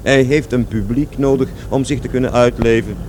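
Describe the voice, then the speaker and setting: A man's voice: mid-range voice, steady tone, moderate pace, noisy background, natural voice. An actor in a radio play playing an aggrieved, rambling man who recalls his past in conversational, self-correcting speech. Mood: wry, aggrieved. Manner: digressive, self-correcting, repeats words emphatically.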